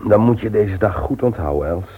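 Casting: ambitious young husband, low-leaning voice, playfully pompous, vintage radio drama, dull sound. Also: male voice, animated, a little fast, breathy edge. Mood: mock solemn. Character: eager, ambitious husband and company secretary, affectionately teasing. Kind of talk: 1960s Dutch radio serial acting.

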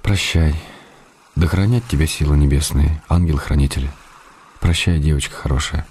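An actor playing a weary, affectionate writer in a radio play, reading love letters in restrained, tender, short measured phrases. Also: male voice, a bass voice, a little mellow, a little hiss or hum. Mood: melancholy, fond. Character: weary, affectionate, wry male writer missing his beloved.

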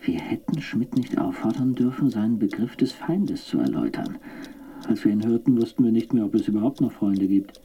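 An actor playing a rueful, skeptical councillor in a radio play. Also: male voice, lowish voice, expressive, fairly quick, warm tone, breathy edge.

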